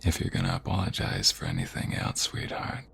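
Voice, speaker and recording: A man playing a protective, affectionate mobster fiancé in an intimate ASMR roleplay, with a husky, breathy voice that often drops toward a whisper. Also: rumbling low, big pitch swings, fairly bright tone, slight background noise, full character voice. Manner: affectionate, teasing, slow and murmuring. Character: protective, tender mobster fiancé soothing his beloved.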